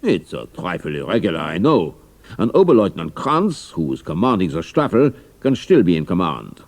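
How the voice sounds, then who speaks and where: Pompous German commander, low gravelly voice, formal, authoritative, audio drama. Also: male voice, sing-song, moderate pace, heavily acted. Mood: commanding, pompous.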